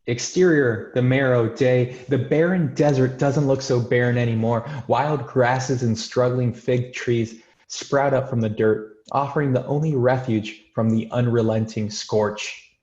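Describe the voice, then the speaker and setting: A man's voice: mid-range voice, steady tone, measured pace, darkish tone, reverberant, lo-fi sound. A man reading screenplay stage directions as scripted narration.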